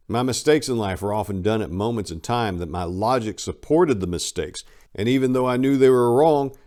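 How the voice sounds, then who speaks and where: Male narrator, lowish pitch, conversational yet emphatic delivery for a dramatic memoir reading. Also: darkish tone. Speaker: reader of an autobiography he edited. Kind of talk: dramatic audiobook-style reading.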